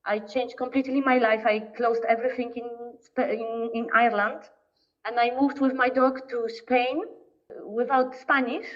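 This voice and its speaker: A woman from Poland with a Polish accent, a podcast guest telling her story in English, often pausing to search for words. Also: on the high side, fairly even intonation. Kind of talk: casual interview talk. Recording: distant, dull lo-fi remote audio.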